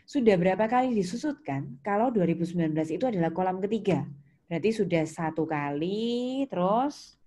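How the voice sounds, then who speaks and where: A woman's voice: medium pitch, animated, fairly quick, dark tone. A woman giving an interactive lecture as a teacher, instructing step by step and checking understanding with questions. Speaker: accounting teacher. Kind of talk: interactive lecture explaining worksheet entries. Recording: clear background noise, keyboard typing and clicks.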